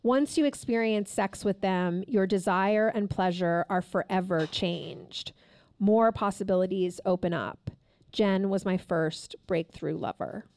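A woman's voice: mid-range voice, darkish tone, no room sound. A woman in her fifties, a guest author, reads frankly from her memoir at a live taping and chats casually. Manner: frank and candid, reads with measured pauses. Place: live taping at a store event.

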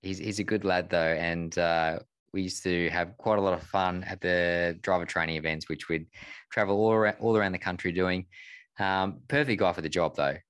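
A man co-hosting a motorsport podcast, bantering and teasing in a relaxed way. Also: deep voice, normal speed, smooth, no echo. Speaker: podcast co-host. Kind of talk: casual motorsport podcast chat.

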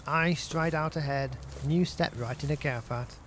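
A man's voice: fairly high pitch, moderate pace, fairly bright tone, a little hiss or hum, lightly acted. A man playing a cocky teenage schemer with a Stoke accent, full of comic-book bravado. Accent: Stoke-on-Trent. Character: cocky young teenage boy Pete, schemer.

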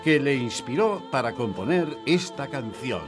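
A man's voice: medium pitch, big pitch swings, measured pace, music in the background. A man playing a polite, patient radio contest host, presenting in a radio theatre show. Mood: measured announcing.

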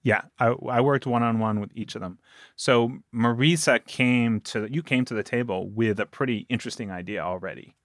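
A man's voice: medium pitch, moderate pace, a bit bright, no echo, studio quality. A man speaking as a producer and game master, telling stories casually as an interview guest. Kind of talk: casual animated storytelling.

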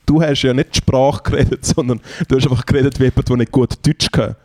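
A man's voice: mid-range voice, steady tone, fast talker, dark tone. A man, co-hosting a comedy podcast, protesting and laughing along in casual banter. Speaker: podcast co-host.

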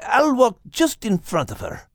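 Cheeky Scots terrier dog for audiobook: wry, joking, raised animated voice. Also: male voice, very high-pitched, measured pace, gravelly, no room sound, clean studio sound, pitched above the performer's own voice, exaggerated voice. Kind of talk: audiobook character acting.

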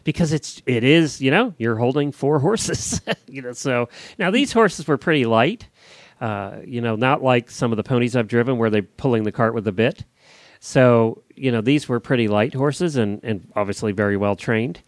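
A man's voice: medium pitch, expressive, normal speed, no echo, studio quality. A man with an American accent co-hosting a podcast, casually chatting and telling stories. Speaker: podcast co-host.

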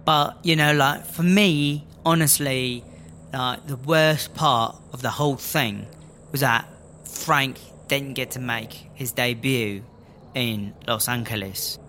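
A man's voice: medium pitch, fairly even intonation, takes it slowly, pushed and bright, a little hiss or hum. A man playing a brash female inmate, rambling through a slangy story in a comedic audio drama. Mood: animated storytelling.

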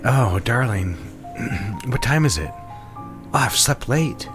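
A man playing an affectionate, sentimental gentleman lover in audio drama dialogue. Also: mid-range voice, animated, slow pace, music in the background. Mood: drowsy.

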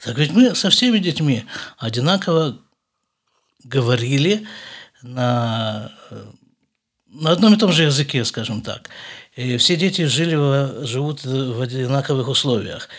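A middle-aged man, a podcast host, calmly asking his grown son questions in an unhurried family conversation. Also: medium pitch, slightly rough.

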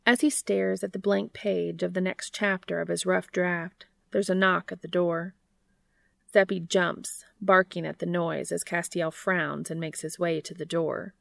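Low, creaky-voiced woman narrating a story. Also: little pitch movement, measured pace, fairly bright tone, no echo, studio quality. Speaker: audiobook narrator of fan fiction.

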